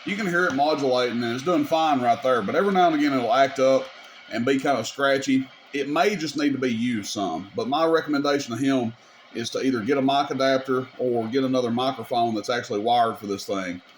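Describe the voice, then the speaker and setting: A man's voice: mid-range voice, fairly quick, a little hiss or hum. A man giving a casual hands-on equipment demonstration, explaining things step by step in an informal, folksy way. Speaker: radio repair video host demonstrating gear.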